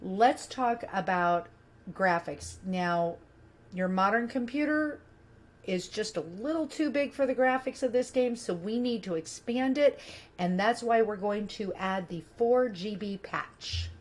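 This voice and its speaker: A middle-aged woman talks through a computer tutorial in a chatty, friendly way, taking each step in turn. Her voice sits at an ordinary middle pitch for a woman. She records into a webcam mic in a small indoor room. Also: animated, unhurried. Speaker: tutorial host. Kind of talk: casual screen-recorded tutorial.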